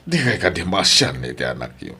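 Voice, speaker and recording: A man's voice: sits low, sing-song, fairly quick, pushed and bright, slightly rough, exaggerated voice. A man playing a concerned, reproving grandfather in an Ilocano radio drama.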